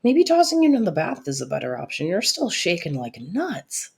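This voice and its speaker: A young woman playing a caring, protective best friend secretly in love, in soft, intimate roleplay audio, with a husky, breathy voice and a teasing, reassuring way of talking. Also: fairly low pitch, huge pitch swings, moderate pace, heavily acted. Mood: gentle concern. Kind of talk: soft-spoken roleplay audio acting addressed to listener.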